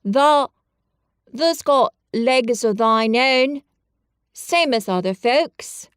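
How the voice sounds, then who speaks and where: A woman voicing a cheerful country boy in a Yorkshire dialect, speaking stoutly and slowly. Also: on the high side, lively intonation, smooth, no room sound, studio quality, voice pushed up. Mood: reassuring. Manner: stout, slow and reassuring.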